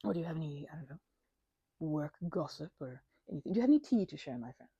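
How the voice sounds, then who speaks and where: A woman's voice: deep voice, sing-song, fast talker, creaky, dark tone, breathy edge, no room sound, muffled recording. A woman doing a casual ASMR roleplay as a chatty, scatterbrained best friend, rambling playfully from one tangent to the next.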